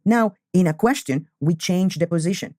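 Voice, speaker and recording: A man, teaching beginner English and repeating key phrases slowly. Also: high-pitched, darkish tone, no room sound, clean studio sound. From Colombia. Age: 20s.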